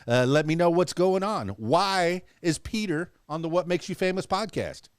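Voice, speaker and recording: A man with a Cuban Spanish accent hosts a relaxed podcast chat, his voice set a little higher than most men's. He talks in an effusive, playful way. The sound is close and dry, with no room around it. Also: moderate pace, clear, resonant tone, no echo. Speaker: podcast host. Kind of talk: casual interview chat.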